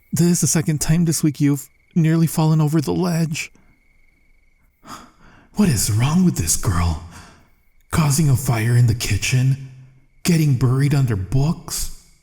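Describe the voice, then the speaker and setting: A man playing a secret assassin posing as a polite bodyguard in an ASMR roleplay, with a soft, gentle voice and a dry, restrained manner. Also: a little high, close to an everyday voice. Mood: alarmed, scolding, then exasperated. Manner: restrained, dry, inner monologue and courteous address. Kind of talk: ASMR roleplay audio acting.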